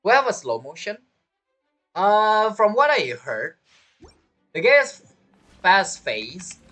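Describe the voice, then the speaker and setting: Female game streamer, non-native English, animated intonation, hesitant off-the-cuff rambling, faint game music underneath. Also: mid-range voice, takes it slowly. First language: not English. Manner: hesitant, rambling, repeats phrases. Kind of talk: casual livestream chat while playing. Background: video game music and character voices.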